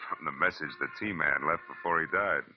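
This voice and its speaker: An American actor playing a wry, world-weary private detective in 1940s radio style, clipped, slangy and deadpan. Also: male voice, a bass voice, moderate pace, slight background noise. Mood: dry, amused. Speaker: lead actor and narrator of a radio detective series. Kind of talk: 1940s radio acting, hard-boiled first-person narration. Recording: dull vintage radio recording. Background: dramatic radio music.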